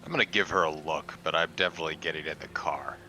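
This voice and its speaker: Deep man, tabletop player, tense edgy tone, crude jokes. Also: fast talker, sharp tone, slight background noise, poor audio. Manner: crude, joking. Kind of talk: casual tabletop play.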